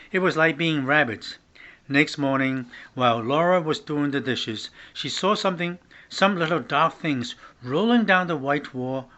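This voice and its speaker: An older man with a bright, forceful voice reads a children's story aloud. His pitch sits a little high for a man. He sometimes trips on a word and starts it again. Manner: stumbles and restarts words while reading. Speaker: grandfather reading along. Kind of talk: reading a children's novel aloud.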